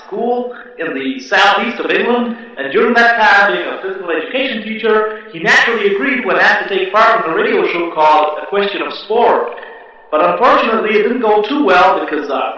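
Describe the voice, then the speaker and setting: A man playing a brisk, matey American talk show host who probes his guests in a spoof radio comedy. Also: high-pitched, pushed and bright, lo-fi sound, voice pushed up. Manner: hesitant uhs, addressing studio audience and guests. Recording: very echoey room, distant from mic.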